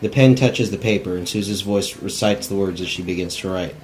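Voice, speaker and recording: A man's voice: low voice, a little fast, a little mellow, poor audio. A man narrating stage directions in a plain, unpolished cold reading of a script. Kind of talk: scripted narration at a table read. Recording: faint background noise.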